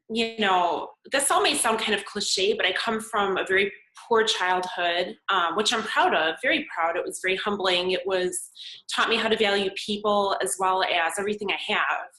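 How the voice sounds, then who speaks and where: A woman's voice: medium pitch, bright, forceful tone, echoey room, rough recording. A woman in her forties whose first language was Serbian, earnestly telling her life story as a podcast guest, with many fillers. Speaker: podcast guest, makeup artist and model. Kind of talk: conversational interview answers.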